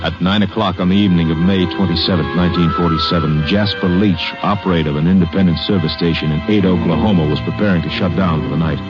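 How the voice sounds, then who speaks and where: Authoritative radio crime narrator, a man, low and fast, music behind, muffled vintage broadcast. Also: steady tone, natural voice. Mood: grave, suspenseful. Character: grave, authoritative male crime story narrator. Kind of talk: 1950s radio scripted narration.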